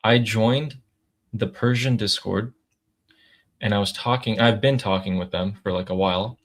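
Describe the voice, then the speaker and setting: A man's voice: fairly low pitch, normal speed. A man with an American accent, co-hosting and chatting casually, telling anecdotes informally and acting out quoted remarks. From United States. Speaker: co-host.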